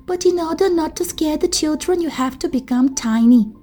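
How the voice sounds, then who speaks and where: A woman's voice: high voice, normal speed, dark tone, faint music behind, pitched above the performer's own voice, natural voice. A woman playing a timid, stubborn little girl in a children's story, whiny and half sobbing. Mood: eager.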